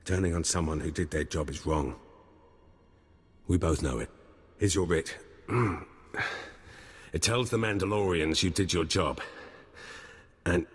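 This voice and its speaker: Very deep, husky military captain. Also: male voice, unhurried, long pauses. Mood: resigned, pained. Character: gruff male army captain turned spy handler, ruthless but honourable. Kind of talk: video game voice acting. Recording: long hall-like reverb.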